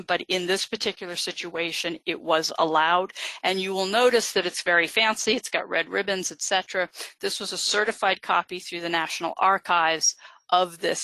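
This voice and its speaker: A woman's voice: lowish voice, sharp tone, no echo, low-quality recording. A woman genealogist giving a live webinar lecture, explaining step by step with frequent fillers. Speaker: genealogist presenter.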